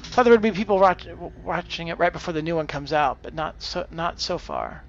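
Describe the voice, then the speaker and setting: A man's voice: a little high, expressive, brisk, gravelly, no room sound, highs cut off. A man giving casual live gaming commentary and tips, thinking aloud as he plays. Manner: hesitant, thinking aloud, exclaiming at the game. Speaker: game streamer.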